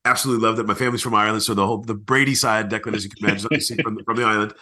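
A man's voice: on the low side, steady tone, racing, bright, forceful tone. A man hosting a podcast in casual interview chat, talking in an effusive, informal way. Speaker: podcast host.

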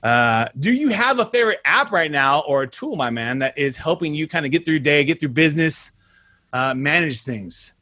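A man hosting a casual podcast interview over a video call, energetic and slangy, punching words with sudden loud bursts. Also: a little high, normal speed. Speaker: podcast host.

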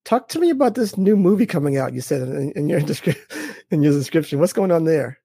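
Podcast host guy, gravelly dark voice, hypes his guest up, dry sound. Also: on the high side, expressive, brisk, no echo. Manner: upbeat, enthusiastic, repeats praise. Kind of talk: casual interview chat.

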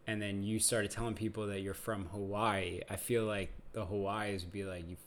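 A man's voice: fairly low pitch, level tone, moderate pace, slight background noise. A man from New Jersey co-hosting a casual podcast, joking and teasing his guest. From New Jersey, USA. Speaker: podcast co-host. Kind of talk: casual chat.

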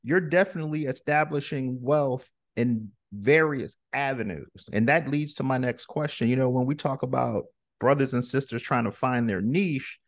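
A man's voice: mid-range voice, normal speed, dry recording, clean studio sound. A man hosting a podcast interview in a casual, chatty, encouraging way. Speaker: podcast host.

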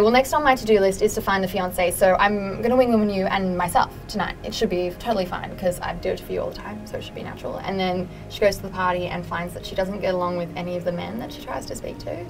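A woman's voice: mid-range voice, steady tone, fast, poor audio. A young woman, chatting in an interview about her creative work, chatty and self-deprecating, with many fillers. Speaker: interviewee, musician and writer. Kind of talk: casual interview answers. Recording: music playing behind.

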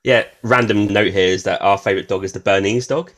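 A man with a fairly low voice hosts a podcast interview. He sounds relaxed, laughs easily and thinks aloud while framing his questions. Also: fast talker. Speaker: podcast host. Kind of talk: casual interview.

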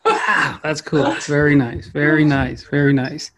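A man's voice: on the high side, level tone, a little slow, crowd noise. A man with an American accent hosting a podcast in a playful, effusive, rambling way, joking with his guest. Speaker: podcast host. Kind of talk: casual interview chat.

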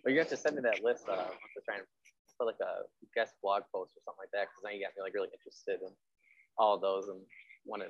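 A man with a low, husky voice talks quickly as a casual interview host. He is informal and agreeable, rambling along conversationally. The audio is slightly dull and lo-fi. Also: fairly even intonation, a little hiss or hum. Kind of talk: casual chat.

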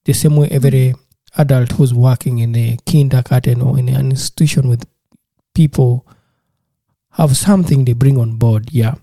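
A man's voice: medium pitch, steady tone, normal speed, vocal fry, warm tone, no room sound. A man from Uganda, working in childcare, talking casually and earnestly in an interview, often repeating and restarting words.

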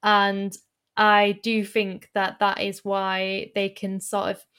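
A woman hosting an interview, musing aloud and filling pauses with um and sort of. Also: medium pitch, monotone, a bit bright, smooth. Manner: thoughtful, fills pauses with um and sort of. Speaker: interviewer, host. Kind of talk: conversational interview.

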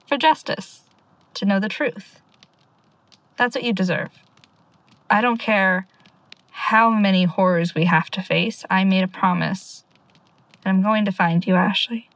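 A woman's voice: medium pitch, unhurried, long pauses, dry recording, close to an everyday voice, low-quality recording. A woman acting in an audio drama as a stubborn, determined younger sister, earnest and defiant. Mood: wry, resolute.

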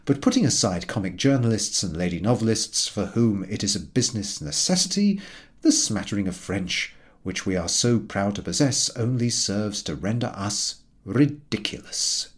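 A man narrating a comic literary story in a formal, measured and expressive storytelling manner. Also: on the low side. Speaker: audiobook narrator.

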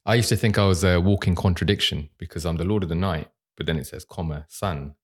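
A man's voice: low voice, fast, husky. A middle-aged man hosting a podcast, casual and playful, joking and laughing at himself. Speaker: podcast host. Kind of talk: casual interview chat.

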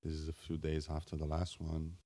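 A man hosting a solo podcast off the cuff in a raspy, just-woken voice, halting and searching for words with wry self-deprecation. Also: a bass voice, completely flat delivery, dark tone, dry recording. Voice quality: raspy morning voice. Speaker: podcast host.

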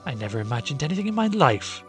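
A man voicing a meek, dreamy, patient country woman with gentle rustic speech in a storybook reading. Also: medium pitch, very animated, fast, soft background music, voice pushed up, lightly acted. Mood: dreamy, earnest.

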